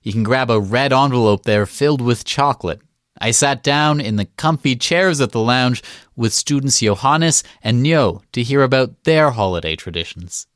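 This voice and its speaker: A man reporting for the radio, reading narration and asking interview questions in a calm, steady way. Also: medium pitch, normal speed, no room sound, studio quality.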